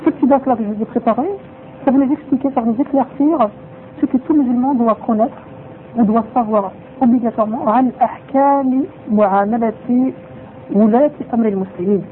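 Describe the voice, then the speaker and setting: A man's voice: extremely high pitch, fast talker. A man giving a religious lesson who drops in Arabic phrases and repeats his points for emphasis. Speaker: religious teacher. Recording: tinny phone-like sound with faint noise.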